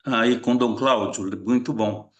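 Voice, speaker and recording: A man's voice: medium pitch, fairly even intonation, unhurried. A man facilitating an online retreat meeting, calling participants by name and welcoming each one briefly. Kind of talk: casual online group meeting. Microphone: video call.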